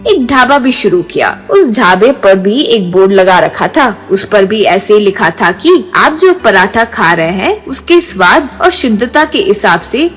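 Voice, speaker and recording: A woman's voice: medium pitch, very animated, a little slow, hardly any pauses, fairly bright tone. A woman reading a scripted moral story as narrator, with music behind.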